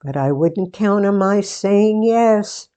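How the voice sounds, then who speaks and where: A woman playing a sentimental, playful daughter and hostess in a 1940s-style radio theatre monologue, with deliberate, theatrical delivery. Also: medium pitch, lively intonation, mellow. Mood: affectionate, playful. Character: sentimental daughter, book-show hostess, playfully resisting marriage.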